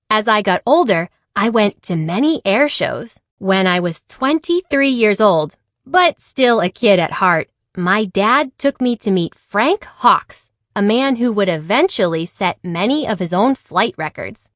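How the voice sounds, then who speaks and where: A woman reading a children's picture book aloud in an expressive way, acting out the dialogue. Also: medium pitch, measured pace, dry recording, studio quality. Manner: animated, acts out dialogue. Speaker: read-aloud storyteller.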